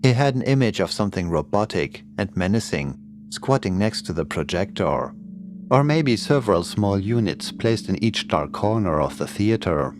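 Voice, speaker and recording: A man's voice: fairly low pitch, sing-song, moderate pace, a little hiss or hum. A man reading scripted horror fiction as an audiobook narrator, in the manner of a prepared storytelling performance. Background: creepy music and sound effects.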